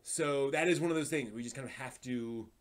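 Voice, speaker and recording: A man's voice: medium pitch, brisk. A man hosting a casual live stream about anime, thinking aloud and rambling off on tangents as he chats with viewers.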